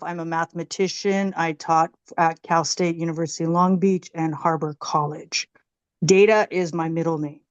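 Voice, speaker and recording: A woman making a prepared public comment at a meeting, with an emphatic, deliberate way of talking. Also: lowish voice.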